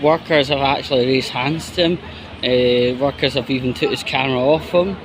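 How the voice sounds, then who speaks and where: A man giving casual opinion commentary in a halting, rambling, disapproving way. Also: medium pitch, noisy background. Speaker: commentary YouTuber.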